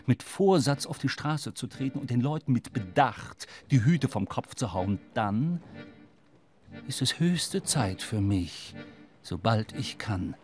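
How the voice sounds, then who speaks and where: An actor playing a brooding, wry wanderer, telling his own story in a literary radio drama. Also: lowish voice. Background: loud music.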